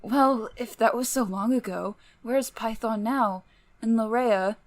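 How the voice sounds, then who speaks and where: A woman voicing a blunt, impatient, teasing youngster in an animated drama. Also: on the high side, measured pace, no echo, natural voice. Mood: skeptical.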